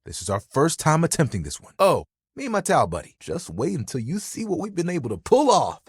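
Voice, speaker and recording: A man's voice: on the high side, huge pitch swings, a little fast, breathy edge, dry recording, studio quality, exaggerated voice. A man voicing a friendly, vain, dramatic living towel in a video game, chatty and playful with an easily wounded manner. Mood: playful, proud. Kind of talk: animated, conversational game character acting.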